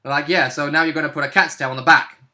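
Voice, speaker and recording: Young man chatting, bright tone. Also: on the high side, fairly even intonation, quick pace. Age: young adult. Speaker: co-host and player. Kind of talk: casual chat about a tabletop game. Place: small room at home, indoors.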